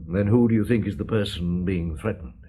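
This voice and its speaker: An actor playing a shrewd, courteous middle-aged police chief inspector in a radio detective drama, asking measured, probing questions. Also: male voice, low voice, warm tone, slight background noise, natural voice. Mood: gently pressing.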